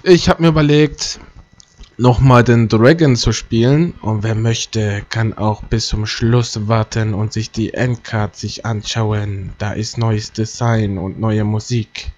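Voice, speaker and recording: A man's voice: on the low side, fairly even intonation, takes it slowly, darkish tone, highs cut off. A man giving casual let's play commentary, chatty and joking as he thinks aloud. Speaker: gaming YouTuber.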